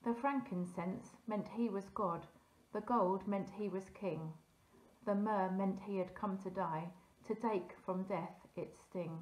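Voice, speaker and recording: A woman's voice: mid-range voice, slow pace, a little mellow. A woman reading a ballad poem aloud in a roomy, echoing space. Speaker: church group leader reading aloud.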